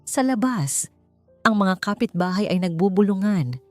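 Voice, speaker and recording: A woman's voice: mid-range voice, expressive, measured pace, dry recording, soft background music. A woman narrator reading a scripted, emotional drama story in a storytelling style.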